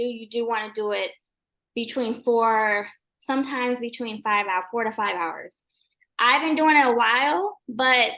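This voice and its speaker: Soft-voiced woman, relaxed and chatty. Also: on the high side. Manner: relaxed and rambling, many ums and likes. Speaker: real estate agent, guest. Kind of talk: casual interview.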